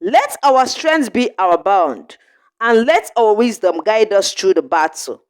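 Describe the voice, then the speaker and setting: A woman's voice: mid-range voice, animated, slow, clean tone. Solemn young warrior woman read by a woman. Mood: solemn, resolute. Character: wise, resolute young woman warrior leading her village.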